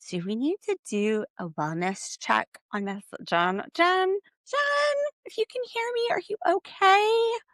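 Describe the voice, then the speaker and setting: A woman's voice: on the low side, gravelly, dry recording, clean studio sound. A woman hosting a podcast and recapping reality TV with sassy, snarky commentary. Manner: snarky, sassy, animated asides. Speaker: podcast host.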